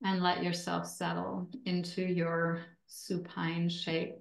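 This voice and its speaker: A woman with a slightly low voice guides a relaxation practice at a very unhurried pace. She speaks gently and deliberately, leaving long pauses between phrases. The room adds a noticeable echo around her words. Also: completely flat delivery, vocal fry. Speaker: yoga nidra instructor.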